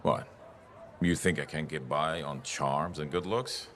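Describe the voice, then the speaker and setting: Very deep, roguish old veteran. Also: male voice, measured pace, fairly bright tone, slight background noise. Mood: defiant bravado. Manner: roguish, bantering, world-weary. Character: gruff older male veteran, roguish, haunted by loss. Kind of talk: video game dialogue.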